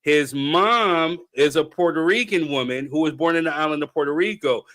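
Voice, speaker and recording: Bright, forceful American male host. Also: on the high side, normal speed. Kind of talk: casual open group discussion.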